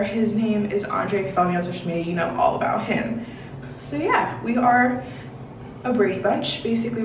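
A woman of twenty-one chatting casually and rambling with many fillers while she gets ready in a bathroom, on a camera a few feet away. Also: medium pitch. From Washington, D.C. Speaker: beauty vlogger. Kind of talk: casual get-ready-with-me chat. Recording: roomy bathroom echo, mic at a distance, noisy.

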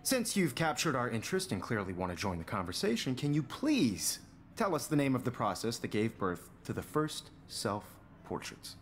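A self-assured male teacher in a video game. He lectures articulately and a little condescendingly, with a lively, swooping delivery, over noticeable background ambience. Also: mid-range voice, normal speed, a little hiss or hum. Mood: pointed, patronising. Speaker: game character.

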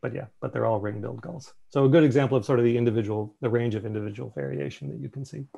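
A man's voice: medium pitch, rapid-fire, creaky, mellow, slightly rough, lo-fi sound. A man casually presenting a slideshow on a video call. He thinks aloud, with fillers and restarts. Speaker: meeting presenter.

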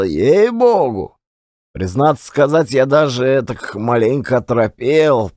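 A man's voice: medium pitch, a little mellow, no room sound, natural voice. Man playing a folksy, rambling Russian storyteller, close and dry. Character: garrulous folksy old-time Russian storyteller, short man, self-deprecating. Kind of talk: one-actor audio play.

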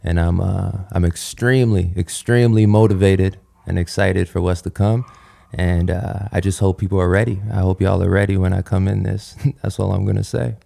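A man's voice: low-pitched, fairly even intonation, normal speed, vocal fry, dark tone. A young man from Nigeria, guest singer on a studio podcast, talking in a thoughtful, easygoing way. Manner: thoughtful, easygoing, laughs often. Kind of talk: relaxed conversational interview.

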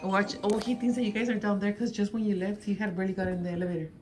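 A woman's voice: mid-range voice, flat delivery, rapid-fire, darkish tone, soft background music. A young woman in her twenties vlogging in a hotel, chatting casually, swearing and laughing, dropping in Spanish phrases. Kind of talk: casual chat. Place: hotel room and hallways, indoors. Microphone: handheld phone camera.

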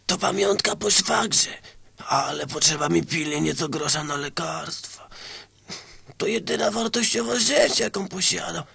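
An actor playing a meek, pleading old man with a creaky, hoarse voice in a satirical radio sketch. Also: on the high side, a little slow, sharp tone, dry recording, heavily acted. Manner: meek, pleading, overly polite. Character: pleading, frail old man peddling a gold bar.

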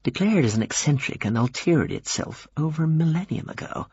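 Audiobook role: knowing artificial woman, male reader, husky, drawn-out musing tone, dry, slightly muffled sound. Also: fairly high pitch, expressive, darkish tone, no echo, voice pushed up, full character voice. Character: purring, musing virtual woman, knowing and unhurried. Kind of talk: audiobook character dialogue.

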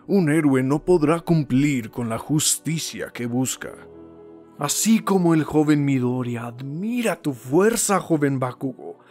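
A man playing an earnest, solemn older hero and teacher in an anime dub, speaking in measured, emphatic phrases. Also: fairly high pitch, darkish tone, close to an everyday voice. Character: earnest heroic older male mentor and teacher. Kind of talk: voice acting demo of anime dub monologue.

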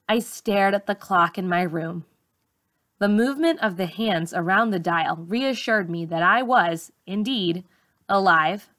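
A woman reading a memoir aloud like an audiobook narrator, with measured, clearly phrased delivery. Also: medium pitch, a bit bright, dry recording, studio quality. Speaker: teacher reading a book aloud.

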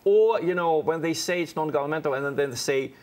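A man's voice: on the high side, fairly quick, a little mellow. A man speaking as a guest commentator on a political panel in a TV studio.

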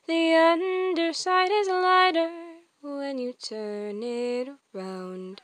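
A woman singing a gentle lullaby, drawing out the words. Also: very high voice, no room sound.

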